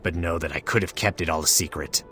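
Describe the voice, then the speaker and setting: An actor playing a solemn, regretful male divine being in a game, speaking in a measured, formal manner. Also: low voice, pushed and bright, a little hiss or hum. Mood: grave, earnest. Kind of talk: scripted game dialogue.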